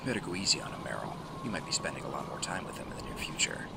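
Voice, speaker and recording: An actor playing a gruff, stoic commando in a military radio drama, gravelly and terse. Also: male voice, extremely low pitch, big pitch swings, rapid-fire, very noisy, exaggerated voice. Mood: dry. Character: gruff, stoic veteran male commando leading the mission. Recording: loud score and battle effects behind.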